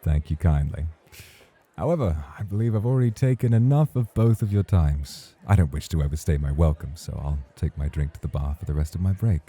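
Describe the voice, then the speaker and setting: A man's voice: low-pitched, fairly quick, warm tone, husky. A man playing a suave, patient nightclub host in an ASMR roleplay, speaking in a formal, courtly, flirtatious way. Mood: courteous, teasing. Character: suave, patient male nightclub host, teasing rival charmer.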